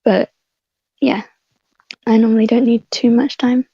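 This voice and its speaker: Woman, mellow husky voice, casual podcast chat, dull lo-fi mic. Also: fairly high pitch, level tone, a little slow, dry recording. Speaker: voice actor and podcast panelist. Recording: dull lo-fi microphone sound.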